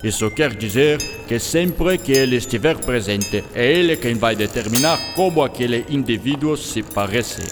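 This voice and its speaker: A man's voice: medium pitch, close to an everyday voice. Man acting as eccentric scientist, German accent, patient enthusiastic teacher, radio play, long reverb, music underneath. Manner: didactic, peppers speech with German words. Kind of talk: scripted radio drama dialogue.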